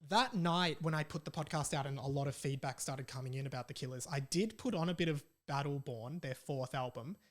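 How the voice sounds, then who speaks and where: A man's voice: on the high side, brisk, hardly any pauses. A young adult man with an Australian accent chats casually and informally as he hosts his solo podcast. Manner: informal and chatty, reads out listener messages. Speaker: podcast host.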